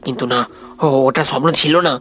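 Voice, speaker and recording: A man playing a terrified, haunted young man in an animated horror story, stammering and repeating himself in panic. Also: mid-range voice, a little fast, soft background music, natural voice. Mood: panicked, pleading.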